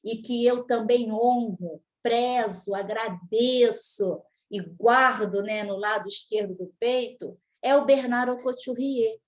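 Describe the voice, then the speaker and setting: Middle-aged woman, fairly high voice, gushing and heartfelt lecture opener. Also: takes it slowly, hardly any pauses. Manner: effusive, emotional, repetitive. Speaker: guest speaker and trainer. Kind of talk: lecture opening.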